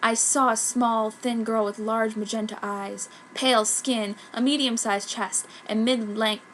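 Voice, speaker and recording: A woman's voice: fairly high pitch, fairly even intonation, measured pace, a bit bright, slight background noise. A woman voicing a self-pitying, dramatic goth schoolgirl telling her own story in a fanfic reading. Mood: wounded, dramatic. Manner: melodramatic. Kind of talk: mock-earnest first-person fanfic narration.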